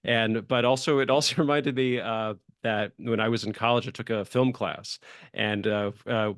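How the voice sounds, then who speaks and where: A man with a medium-pitched voice hosts a casual interview, recorded dry with no room echo. He is chatty and a bit rambling, building long questions with imagined quotes. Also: steady tone, moderate pace, a bit bright. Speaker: show host, interviewer.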